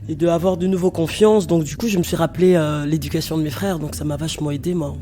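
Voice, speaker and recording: A woman's voice: deep voice, slight background noise. A woman in her thirties, an artist and DJ, speaking directly and easily about her life in an interview, with frequent fillers. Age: 30s. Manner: relaxed and direct, with many fillers. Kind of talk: personal testimony in an interview.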